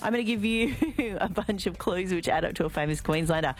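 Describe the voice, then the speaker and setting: Relaxed Australian woman radio presenter. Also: fairly low pitch. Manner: relaxed, chatty, repeats phone number slowly. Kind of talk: casual local radio chat.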